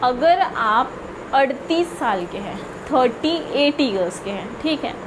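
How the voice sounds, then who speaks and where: High-voiced woman teaching English slowly. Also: lively intonation, noisy background. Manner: slow, deliberate example sentences with frequent "theek hai". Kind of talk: spoken English lesson.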